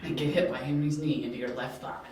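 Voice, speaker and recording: A man's voice: a little high, fairly even intonation, fast talker, a little mellow, lightly acted. Man playing smug criminal mastermind, mockingly polite, amateur audio drama, heavy echo, distant mic. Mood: confident, calculating. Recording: very echoey, distant, with faint hiss.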